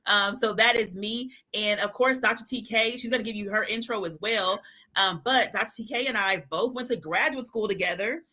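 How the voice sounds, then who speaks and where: Woman, 30s, from Oakland; bright, forceful co-host, high energy. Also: normal speed. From East Oakland, California. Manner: energetic, laughs often, repeats points. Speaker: financial psychologist, co-host. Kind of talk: live stream chat.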